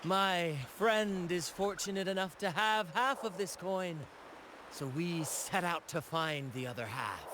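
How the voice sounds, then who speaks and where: A man's voice: high voice, fairly bright tone, slight background noise, exaggerated voice. A man playing a flamboyant young pirate captain and showman in a video game, with a theatrical, declamatory delivery. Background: game background music.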